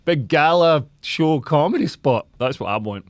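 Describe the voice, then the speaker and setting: A Scottish comedian and podcast host chatting casually, rambling with lots of "like" and laughing often. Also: male voice, a little high, animated, moderate pace, no echo, clean studio sound. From Scotland. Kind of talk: casual chat.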